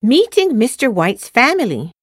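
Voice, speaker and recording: A woman's voice: fairly high pitch, sing-song, normal speed, slightly rough, dry recording, clean studio sound. A woman acting a cheerful, eager student girl in a scripted listening-test dialogue, enunciating carefully like a textbook.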